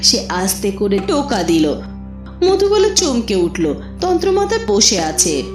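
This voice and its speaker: A woman's voice: medium pitch, wildly swooping pitch, unhurried. A woman narrating a scripted cartoon story in a dramatic storytelling style. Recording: roomy echo with music behind.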